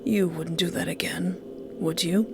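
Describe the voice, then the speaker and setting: A woman voicing an obsessed, possessive male ex-boyfriend in a visual novel, hushed and controlled with quiet menace. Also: on the low side, steady tone, unhurried, gravelly, a little hiss or hum. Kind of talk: visual novel villain dialogue.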